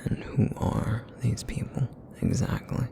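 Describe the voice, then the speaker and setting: A man voicing a broody, feral essence eater in an ASMR roleplay, with a raspy, rumbling murmur and a weary, guarded, reluctant manner. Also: lightly acted. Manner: brooding, reluctant, slow and terse.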